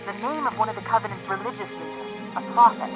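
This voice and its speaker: A woman plays a composed AI aide in a game cutscene. Her medium-pitched voice is cool and dryly witty, with clear music behind it. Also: quick pace, lightly acted, poor audio. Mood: explanatory. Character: cool, wry female artificial intelligence aide. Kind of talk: video game cutscene acting.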